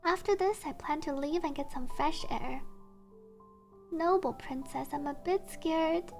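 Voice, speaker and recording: A woman livestreamer reading game text aloud and adding chatty asides, with game music behind her. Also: high voice. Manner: reads story text slowly, then chatty asides. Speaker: streamer, VTuber.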